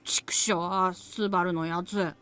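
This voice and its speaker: A woman voicing a brash, rowdy young schoolboy with a rough, boisterous manner in an anime visual novel. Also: fairly low pitch, fairly even intonation, moderate pace, a bit bright, lightly acted. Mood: indignant, grumbling.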